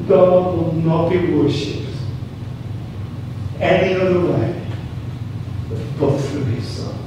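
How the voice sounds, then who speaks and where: Brummie guy preaching a sermon, emphatic and repetitive, super echoey room w noticeable background noise. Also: high voice. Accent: Birmingham English. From Birmingham, England. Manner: emphatic, repeats phrases, asks rhetorical questions. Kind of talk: sermon to a congregation. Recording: very echoey room, distant mic, clear noise.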